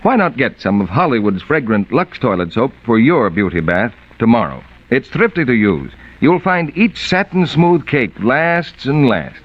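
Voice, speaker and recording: A man's voice: medium pitch, big pitch swings, moderate pace, few pauses. A man announcing on 1940s radio, reading scripted narration and commercial copy with polished, emphatic salesmanship. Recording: muffled vintage 1940s radio broadcast.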